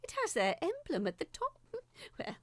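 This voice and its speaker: A woman's voice: sits high, huge pitch swings, moderate pace, a bit bright, no echo, pitched above the performer's own voice, exaggerated voice. A woman playing a wry, slightly fussy character who muses conversationally in a scripted monologue. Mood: bemused, mildly disapproving. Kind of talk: scripted audio drama monologue.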